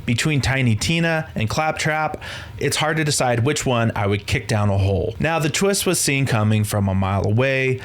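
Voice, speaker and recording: A man's voice: mid-range voice, lively intonation, normal speed, soft background music. Sardonic male film reviewer. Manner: sardonic, dismissive. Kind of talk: scripted video essay commentary.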